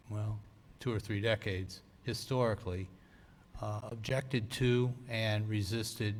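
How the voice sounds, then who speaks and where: An American man speaking as a town attorney, giving a measured, formal, lawyerly explanation at a council meeting. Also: on the low side, a little hiss or hum.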